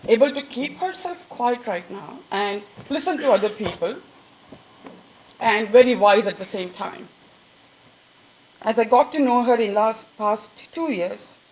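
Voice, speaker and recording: A woman's voice: a little high, slight background noise, rough recording. A woman with an Indian accent giving a chatty, laughing tribute speech to a colleague at a staff event. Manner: chatty, laughing at own jokes. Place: event room with an audience.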